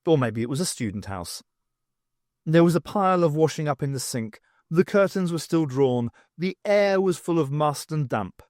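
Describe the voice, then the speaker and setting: A man reading scripted fiction as an expressive audiobook narrator. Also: on the high side, normal speed, no room sound, clean studio sound.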